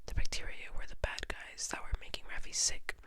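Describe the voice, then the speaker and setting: A person reading a children's story aloud entirely in a soft, breathy, unvoiced whisper, in an ASMR style. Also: a little fast. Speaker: storybook reader.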